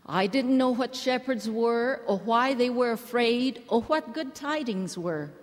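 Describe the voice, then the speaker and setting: Slow, earnest woman in a reverberant hall. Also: fairly high pitch, expressive. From Hawaii. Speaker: church speaker. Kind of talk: measured address to a women's conference.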